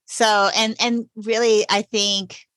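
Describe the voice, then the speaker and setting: A woman's voice: mid-range voice, level tone, takes it slowly, a bit bright, no room sound. A woman hosting a podcast interview, opening with a scripted introduction and then chatting with her guest in an enthusiastic, gushing way. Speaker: podcast host, self-care coach.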